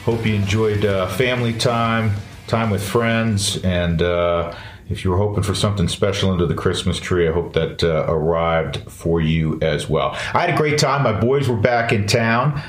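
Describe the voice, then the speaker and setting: Chatty middle-aged man, low voice. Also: normal speed. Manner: chatty, frequent "uh" fillers. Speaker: podcast host. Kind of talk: casual podcast talk and host-read ads.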